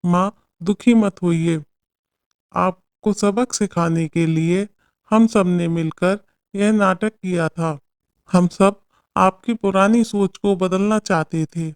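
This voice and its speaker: A woman's voice: lowish voice, steady tone, slow, darkish tone, smooth, dry recording, clean studio sound. A woman voicing an earnest young husband who reasons and pleads with his mother. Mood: gentle.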